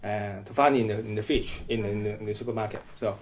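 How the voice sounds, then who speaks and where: Middle-aged hong kong guy in an interview, keeps restarting, deep-ish mellow voice. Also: steady tone, fast, a little hiss or hum. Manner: hesitant, frequent restarts and repeated words. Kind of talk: oral history interview.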